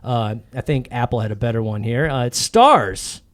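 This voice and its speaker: A man's voice: mid-range voice, big pitch swings, moderate pace. A man in his forties hosting a comedy podcast, talking in a rambling, self-deprecating way with lots of fillers and restarts. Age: 40s. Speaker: podcast host. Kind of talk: casual comedy podcast chat.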